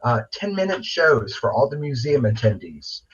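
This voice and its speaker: A man's voice: mid-range voice, sing-song, moderate pace, a little hiss or hum. A middle-aged man chatting casually and enthusiastically as an interview guest, rambling a little over a video call. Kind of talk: casual chat. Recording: dull, lo-fi video call audio.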